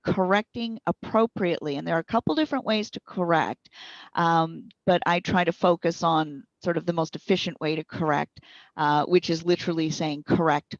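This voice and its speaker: A woman with a lowish voice speaks as a trainer in an interview, explaining step by step in a conversational way. The recording is a little roomy and slightly dull. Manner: conversational, instructive. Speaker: speech recognition trainer being interviewed. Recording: boxy roomy echo, slightly dull sound.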